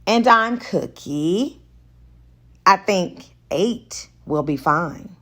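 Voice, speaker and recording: A woman's voice: fairly low pitch, expressive, extremely slow, fairly bright tone, full character voice. Flirty romance heroine played by a female reader, coy and playful, taking her time. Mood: playful, seductive.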